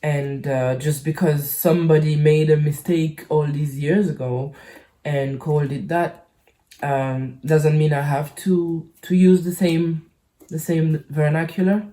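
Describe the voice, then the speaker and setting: A woman from the Caribbean speaking casually and hesitantly to her channel's audience, halting and rambling as she goes. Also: sits low, fairly even intonation, mellow. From French Caribbean island. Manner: hesitant, halting, rambling with frequent pauses and repeats. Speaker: YouTube channel host. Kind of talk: casual personal vlog talk.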